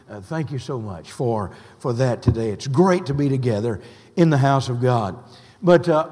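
Hoarse older preacher. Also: male voice, a little high, a little mellow. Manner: folksy, unhurried preaching with many "uh" fillers. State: hoarse, scratchy voice. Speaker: pastor. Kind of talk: sermon. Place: church sanctuary. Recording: long reverb of a church hall.

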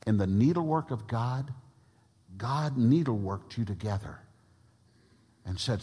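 A man preaching from the pulpit with a big voice that rises and drops with lively, animated pitch. His tone is somewhat husky, and his words ring out through a church hall with a long reverb behind them. He shifts between loud, stressed phrases and softer asides to the room. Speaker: pastor. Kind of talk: sermon. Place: church sanctuary.

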